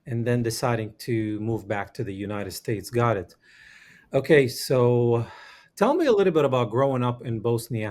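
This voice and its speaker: A man hosts an interview podcast. He greets his guest in a friendly, welcoming way and asks open questions. His voice sits at an ordinary middle pitch. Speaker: podcast host. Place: Georgia, US.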